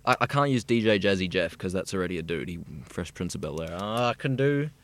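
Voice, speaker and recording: A man's voice: low-pitched, lively intonation, fast, a bit bright. A young man from South Africa, a radio student chatting easily and at length in an interview. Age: young adult. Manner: chatty, rambling and easygoing. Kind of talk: casual interview chat.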